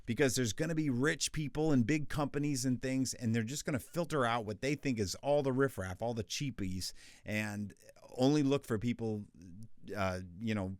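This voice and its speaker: A man with a deep bass voice, chatting through freelance voiceover tips in a casual, conversational way. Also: no room sound, studio quality. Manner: chatty, conversational, with restarts and asides. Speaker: voice actor teaching freelancers. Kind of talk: casual tutorial talk.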